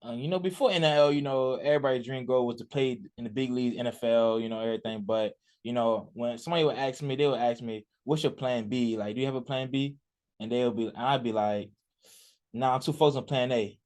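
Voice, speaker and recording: A man's voice: mid-range voice, fairly even intonation, fast talker, a little mellow. A young man in his early twenties from Richmond, Virginia, a college athlete answering a radio interviewer in a casual, rambling way with many fillers and restarts. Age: 20s.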